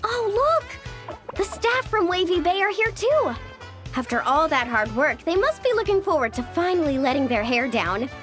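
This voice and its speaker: A woman's voice: extremely high pitch, big pitch swings, normal speed, fairly bright tone, faint music behind, heavily acted. A woman voicing an upbeat, breezy, sporty young woman in a video game, casual and full of enthusiasm. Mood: curious, cheerful.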